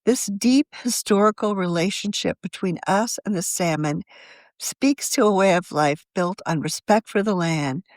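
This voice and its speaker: A woman reading a written article aloud as a magazine editor, in a measured, journalistic manner of scripted narration. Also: mid-range voice, no echo.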